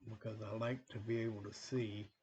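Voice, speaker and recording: A man with a low, flat voice walks through a train-game session in casual, rambling commentary, stopping often for ums and restarts. He sounds a little sniffly, as if a cold is coming on. A faint hum of room noise sits behind him. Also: normal speed, highs cut off. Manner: rambling, relaxed, many fillers and restarts. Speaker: gaming channel host.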